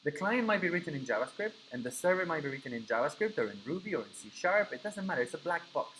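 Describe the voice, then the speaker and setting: A man's voice: a little high, very animated, fast, fairly bright tone, slight background noise, poor audio. A man whose first language is Spanish teaches a live coding class, explaining step by step and checking in with his students. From Argentina. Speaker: coding instructor.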